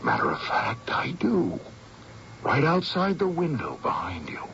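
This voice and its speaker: An actor playing a frightened, haunted man convinced he is dying, intense and insistent, at times hushed, in a radio drama. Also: mid-range voice, wildly swooping pitch, unhurried, heavily acted. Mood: grim certainty. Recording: dull, noisy old radio drama recording.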